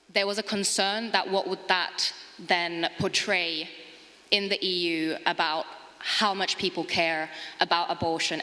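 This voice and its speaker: A woman from Finland, giving a measured conference presentation with frequent hesitant fillers. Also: on the low side, pushed and bright. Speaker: conference speaker, project officer. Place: conference hall. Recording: long reverb of a large hall.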